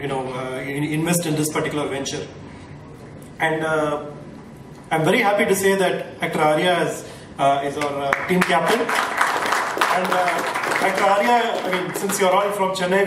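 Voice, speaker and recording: A man gives a hesitant speech at a launch event, stumbling through fillers and restarts. His voice sits a little high. The mic is distant, the big hall echoes heavily, and a faint hum sits underneath. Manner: halting, many fillers and restarts. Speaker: team owner at a launch event.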